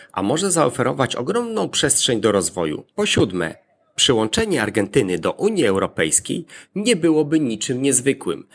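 A man presenting a scripted explanatory monologue in a measured, formal manner, setting out arguments point by point. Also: mid-range voice, vocal fry, slightly rough.

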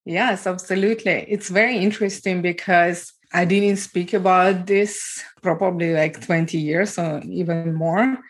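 A woman from Ukraine speaking English with a Ukrainian accent and small grammar slips, telling her life story as an interview guest in a steady, matter-of-fact way. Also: fairly low pitch, unhurried, few pauses, slightly rough. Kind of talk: personal testimony in an interview.

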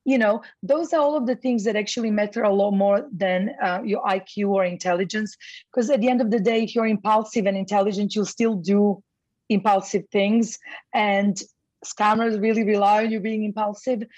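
A woman's voice: mid-range voice, steady tone, normal speed, a little mellow, dry recording, highs cut off. A woman from Croatia, a guest expert, talking through her ideas in a casual interview, with lots of "you know" and "um". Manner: thoughtful, many fillers like "you know".

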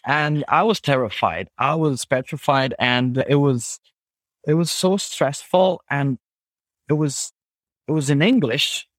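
A man from Croatia, speaking English with a Croatian accent, talks as a podcast guest in an earnest, halting way with frequent restarts. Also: fairly high pitch, no room sound. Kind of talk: podcast conversation.

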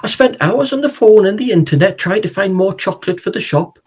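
A man voicing a frazzled, fed-up mum who rants in quick bursts. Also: high-pitched, expressive, a little mellow, husky, soft background music, pitched above the performer's own voice, heavily acted. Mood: exasperated. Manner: exasperated, rapid rants.

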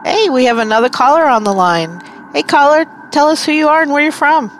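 A talk radio host from New York with a New York accent, speaking in a bubbly way and quick to laugh. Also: sits high, fairly bright tone, a little hiss or hum. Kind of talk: radio talk show hosting.

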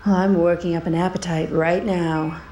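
An actress playing a flirtatious, sharp-tongued femme fatale in a theatrical noir radio comedy. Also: female voice, lowish voice, little pitch movement, normal speed, slight background noise, lightly acted. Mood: flirty, indignant.

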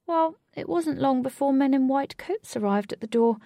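A woman's voice: sits high, animated, normal speed, gravelly, mellow, no room sound, clean studio sound, pitched above the performer's own voice. A woman voicing a giant fat talking cat in a storybook, sweet, lonely, affectionate and earnest. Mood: wistful. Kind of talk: storybook character voice.

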